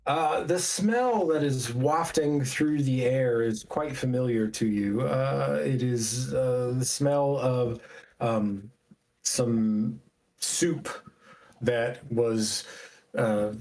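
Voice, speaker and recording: A man narrating an improvised tabletop game as game master, descriptive and halting as he builds the scene. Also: medium pitch, darkish tone, rough recording.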